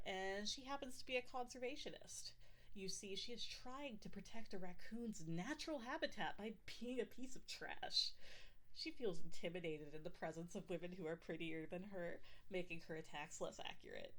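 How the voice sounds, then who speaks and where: A woman's voice: mid-range voice, expressive, normal speed, fairly bright tone, lightly acted, low-quality recording. A woman voicing a smug, lovestruck fire sorceress companion in a fantasy audio roleplay, with a theatrical manner. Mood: smug, helpful.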